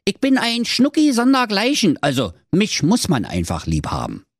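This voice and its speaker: A man's voice: wildly swooping pitch, no room sound, studio quality, exaggerated voice. A man doing a cocky, brash young hero. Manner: high, brash, fast.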